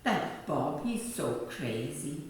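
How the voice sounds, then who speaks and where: A woman's voice: low voice, very animated, slow pace, exaggerated voice. Woman acting a gossipy village neighbour, very echoey. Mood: fussing, chatty. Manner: chatty storytelling. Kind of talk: radio play acting. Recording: very echoey, distant, faint background noise.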